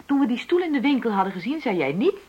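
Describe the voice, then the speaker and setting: A woman's voice: on the high side, big pitch swings, quick pace, breathy edge, muffled recording. Middle-aged wife in a radio drama, fed up, argues her point, voice goes up and down a lot. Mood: earnest, reproachful. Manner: argumentative, insistent, reasoning. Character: frustrated middle-aged wife demanding equality in her marriage. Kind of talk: naturalistic Dutch radio play dialogue.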